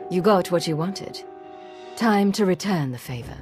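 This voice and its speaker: An actress playing a cool, determined imperial agent in a video game, clipped, formal and purposeful. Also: female voice, fairly low pitch, animated, moderate pace, a little mellow, soft background music, lightly acted. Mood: cool, businesslike. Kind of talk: video game cutscene acting.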